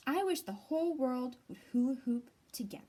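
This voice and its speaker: Woman as a friendly storybook fish, lilting high. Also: expressive, a little fast, dark tone. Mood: cheerful, easygoing. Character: sociable hula-hooping alewife fish, cheerful picture-book traveler.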